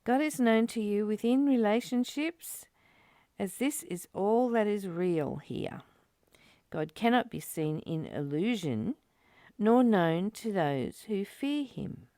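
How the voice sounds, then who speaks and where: A woman giving a spiritual reading and reflection as a teacher, in a deliberate, measured way with emphatic pauses. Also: mid-range voice, expressive, dark tone, no room sound, studio quality. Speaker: study group teacher reading and commenting.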